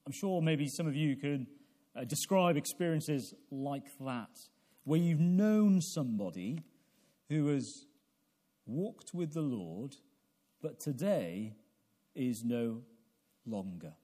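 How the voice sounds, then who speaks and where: A man in his forties preaching a sermon in a deliberate, halting way, repeating phrases and posing questions to his listeners. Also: mid-range voice, lively intonation, dark tone. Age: 40s. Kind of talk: evangelical sermon with prayer.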